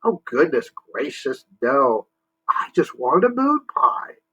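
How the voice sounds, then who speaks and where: A man voicing a huge hungry monster who is gentle and polite, speaking in a high, drawn-out voice on a muffled lo-fi recording. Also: sing-song, breathy edge, heavily acted. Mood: grateful.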